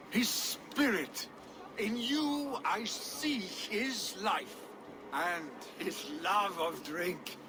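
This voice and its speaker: An actor playing a grieving young Norse chieftain's son who gives a slow funeral speech. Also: male voice, high-pitched, background noise. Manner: solemn funeral speech, then heavy and drunk. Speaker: new jarl, son of the dead jarl.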